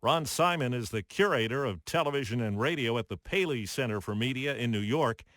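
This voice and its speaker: A man's voice: medium pitch, expressive, fairly quick, a bit bright, dry recording, clean studio sound. A middle-aged American man hosting a radio news special, moving between broadcast interview questions and scripted narration. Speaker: radio news anchor and host.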